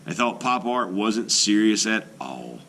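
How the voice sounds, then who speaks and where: Low-pitched man, lively intonation, children's read-aloud narration, deliberate pauses, some background noise. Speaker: art teacher reading a picture book aloud.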